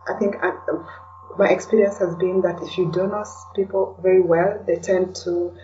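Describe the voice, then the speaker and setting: A woman from Kenya speaking as a podcast guest in a casual, reflective way, often pausing to search for words. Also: fairly low pitch, steady tone, mellow, slight background noise. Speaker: interview guest, blogger and podcaster. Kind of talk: casual conversational interview. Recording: distant, dull lo-fi call sound.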